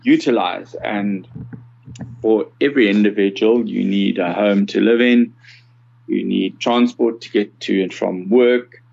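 A South African man in his sixties giving conversational, measured interview answers with some hesitation. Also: lowish voice, creaky, darkish tone, highs cut off. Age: 60s. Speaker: podcast guest, accessibility consultant.

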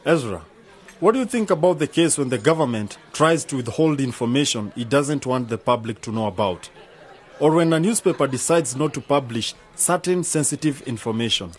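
A man's voice: medium pitch, lively intonation, normal speed, natural voice. A man playing a principled, questioning young debater in an educational radio drama.

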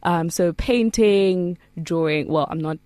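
A young woman from Zimbabwe, a musician guest in a radio studio, talking in a playful, lively way. Her voice sits a little low for a woman and sounds close and dry on the mic. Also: slow, no echo. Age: young adult. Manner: lively, laughs often, playful. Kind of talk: casual interview chat.

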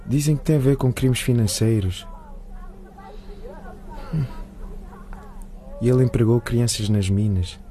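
A man's voice: mid-range voice, a little slow, long pauses, warm tone, slight background noise, close to an everyday voice. A man playing a hesitant, deferential teenage schoolboy in a radio drama, answering politely. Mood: uneasy, deferential.

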